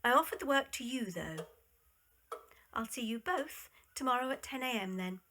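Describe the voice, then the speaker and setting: A woman's voice: fairly high pitch, expressive, moderate pace, noisy background, natural voice. A woman playing a confident, airy young millionaire castle owner in a period audio drama, polite and self-assured. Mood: cool, gracious.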